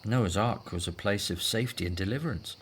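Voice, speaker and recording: A man's voice: fairly low pitch, brisk, slight background noise, close to an everyday voice. An actor in a scripted audio drama playing a devout Hebrew husband and father, earnest and persuasive. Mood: reassuring, persuasive.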